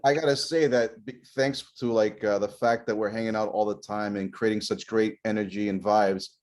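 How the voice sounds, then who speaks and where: Man, co-host, lowish voice, laid-back, joking. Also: fairly even intonation, normal speed, a little mellow. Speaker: podcast co-host. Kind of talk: casual chat.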